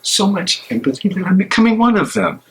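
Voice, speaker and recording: A man in a dramatic stage reading playing a tormented, guilt-ridden German theologian, speaking haltingly and theatrically. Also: high-pitched, sing-song, a bit bright. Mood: anguished self-reproach.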